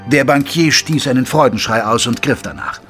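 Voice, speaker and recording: Man as measured gentlemanly storyteller. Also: mid-range voice, natural voice. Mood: composed. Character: gentlemanly narrator companion of a Victorian detective. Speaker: narrator. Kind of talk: scripted audio drama narration. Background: music.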